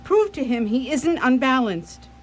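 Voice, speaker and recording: A woman's voice: high-pitched, big pitch swings, measured pace, a little hiss or hum. A woman playing an anxious, devoted young wife in a radio play, genteel and pleading. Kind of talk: radio theatre acting.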